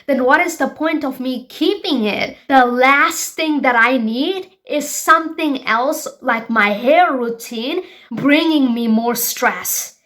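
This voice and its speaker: A woman's voice: high-pitched, fairly bright tone. A woman in her twenties from Bangladesh hosting her own show, talking frankly and emphatically to her viewers. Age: 20s. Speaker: show host. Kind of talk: personal vlog monologue.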